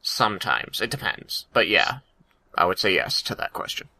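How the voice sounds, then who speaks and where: A man's voice: low-pitched, very animated, moderate pace, vocal fry, sharp tone. A man co-hosting a podcast in casual chat, with a jokey, sarcastic way of talking. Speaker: podcast co-host.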